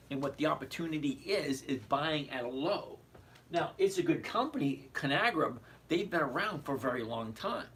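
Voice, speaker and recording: Hoarse man chatting about stocks. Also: mid-range voice, fairly bright tone. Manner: chatty, repeats "okay?", answers viewers. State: hoarse, voice cracking. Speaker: stock trading host. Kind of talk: casual livestream market commentary. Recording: distant mic with faint background noise.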